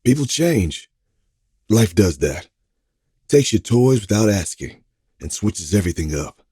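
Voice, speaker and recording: Chill caring dad, audio drama, husky dark mellow voice, soft n folksy. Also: male voice, lowish voice, very animated, measured pace, no echo, studio quality, full character voice. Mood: caring, calm. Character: laid-back, caring middle-aged father of a teenager.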